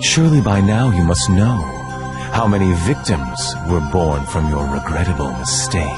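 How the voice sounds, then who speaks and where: A man playing a menacing, sanctimonious leader in a video game, theatrical, condescending and deliberate, with music behind him. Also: deep voice, close to an everyday voice. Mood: accusing. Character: menacing, sanctimonious male cult-like leader and manipulator. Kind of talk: video game cutscene voice acting.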